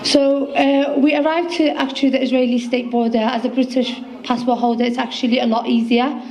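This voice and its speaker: A woman's voice: on the high side, fairly even intonation, fairly quick, darkish tone. A young woman in her twenties with a Liverpool accent, a guest poet speaking earnestly to an audience, with some fillers. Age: 20s.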